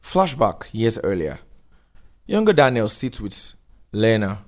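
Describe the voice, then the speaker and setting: Solemn man with a lowish voice, narrating. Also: sing-song, slow, a little mellow. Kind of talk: dramatic script narration with scene descriptions.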